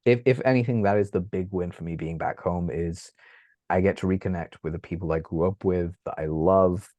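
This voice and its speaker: A man, chatting as an interview guest from his bedroom at home, animated and laughing often. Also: low voice, fairly quick, no room sound. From England. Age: 30s. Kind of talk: casual interview chat. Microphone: video call.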